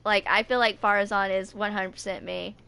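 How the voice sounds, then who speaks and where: A woman's voice: medium pitch, fairly even intonation, fairly quick, gravelly, bright, forceful tone, smooth. A woman in her twenties with a young-sounding voice, casually reading aloud and chatting. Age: 20s. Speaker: video maker reading game stories. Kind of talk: casual read-aloud with chat. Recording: noticeable background noise.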